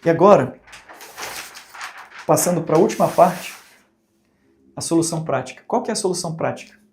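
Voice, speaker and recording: Man, Brazilian Portuguese accent, course instructor, rhetorical questions, fairly high voice, warm tone. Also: moderate pace, a little hiss or hum. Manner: conversational, asks rhetorical questions, acts out examples. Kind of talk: recorded teaching talk.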